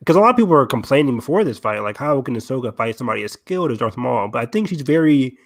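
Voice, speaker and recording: A man's voice: mid-range voice, sing-song, rapid-fire, no echo, clean studio sound. A man talking casually as a panelist in a fan discussion. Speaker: podcast panelist.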